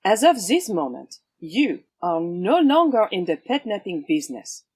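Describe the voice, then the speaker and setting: A woman's voice: medium pitch, sing-song, a little slow, a little mellow, breathy edge, dry recording. A woman acting a haughty swashbuckling heroine, wry and commanding, in an audio drama. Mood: cool menace.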